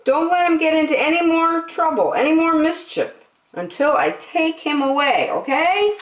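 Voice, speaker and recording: A woman storyteller voicing an excited, caring man with an eager, emphatic delivery in an echoey room. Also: high voice, moderate pace, voice pushed up. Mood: earnest pleading.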